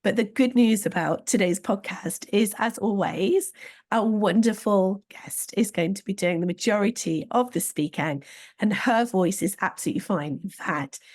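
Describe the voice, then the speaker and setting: A woman hosting an interview podcast, with a slightly squeaky voice today, introducing her guest in a friendly, measured way. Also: darkish tone. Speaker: podcast host.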